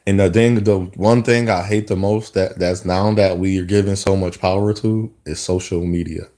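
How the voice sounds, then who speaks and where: Low, mellow-voiced man musing aloud. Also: fairly even intonation, moderate pace. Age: 30s. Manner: rambling, reflective, with many restarts. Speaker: vlogger. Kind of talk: casual spoken monologue.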